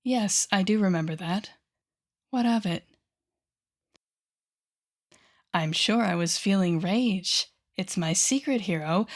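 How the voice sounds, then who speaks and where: A woman playing a haughty, sardonic telepathic villain with a hidden soft side, speaking straight to the listener in an audio roleplay. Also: mid-range voice, lightly acted. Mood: guarded, defensive. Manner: sardonic, theatrical, teasing, with sighs and deliberate pauses. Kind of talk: intimate audio roleplay acting addressed to the listener.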